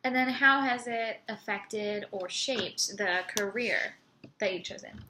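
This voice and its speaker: A young woman interviewing a patient, asking steady questions with some fillers. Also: mid-range voice, measured pace, a little hiss or hum, rough recording. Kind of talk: clinical interview.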